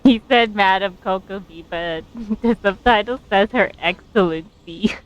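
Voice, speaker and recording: A woman streamer chats casually over her game in a smooth, clear voice. She takes her time, musing aloud with an amused, hesitant manner. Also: medium pitch, a little slow, rough recording. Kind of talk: casual playthrough commentary.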